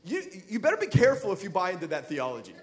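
High-voiced male pastor preaching. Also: wildly swooping pitch, very fast. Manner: conversational, jokey, builds to shouting emphasis. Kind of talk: sermon. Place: church sanctuary.

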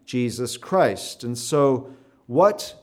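Canadian male preacher, mid-pitched, chatty delivery with fillers, rising to firm emphasis. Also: big pitch swings, extremely slow. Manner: conversational, with frequent fillers and repeated words; builds to emphatic, louder stresses. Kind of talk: sermon to a live audience.

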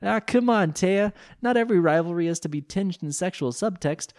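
Man doing a squeaky goofy sidekick guy. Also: animated, fairly quick, darkish tone. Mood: cheerful. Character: goofy high-voiced young man sidekick.

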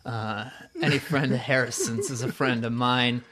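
A man's voice: medium pitch, fairly even intonation, moderate pace, a bit bright. A man hosting a podcast, talking in a laid-back, jokey way and swearing casually. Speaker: podcast host.

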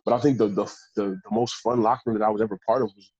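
Deep-voiced laid-back football player. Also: male voice, steady tone, racing, a little mellow, husky, no echo, muffled recording. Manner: laid-back, rambling with restarts. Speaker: pro football player guest. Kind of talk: casual storytelling interview.

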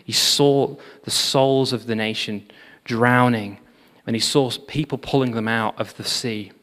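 A man giving a sermon, telling revival history in an animated storytelling manner with frequent fillers. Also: medium pitch, a little slow. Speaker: preacher. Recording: long hall reverb.

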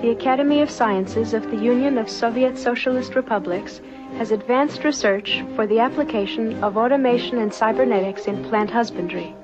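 A woman delivering scripted documentary narration in a formal, measured manner. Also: on the high side. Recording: dull lo-fi old film soundtrack. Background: music.

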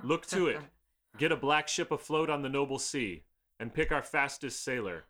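An actor playing a gracious, commanding king in a theatrical audio drama, with stately, measured oratory. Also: mid-range voice, a bit bright, natural voice. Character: commanding, gracious king addressing his captains. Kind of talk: theatrical classical verse drama.

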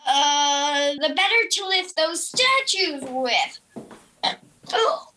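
Girlish woman playing a jittery, very high-voiced young worker. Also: big pitch swings, pushed and bright, exaggerated voice, low-quality recording. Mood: strained, evasive. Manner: halting, jittery. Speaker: student performer. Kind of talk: audio drama acting. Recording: music over the voice.

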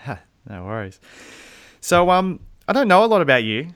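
A man's voice: fairly high pitch, very animated, moderate pace, fairly bright tone, smooth, dry recording, clean studio sound. A man with an Australian accent hosting a podcast and chatting casually as he interviews a guest.

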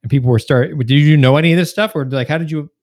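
A man's voice: on the high side, fast talker, a little mellow. An American man hosting a podcast, asking informal, rambling questions that he keeps rephrasing. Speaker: podcast host, interviewer. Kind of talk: casual interview questions.

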